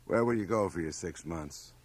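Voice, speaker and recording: An actor playing a genial but patronizing school official in a radio drama, chummy and chiding. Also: male voice, low-pitched, normal speed, slightly rough, no echo. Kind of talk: 1980 radio drama acting.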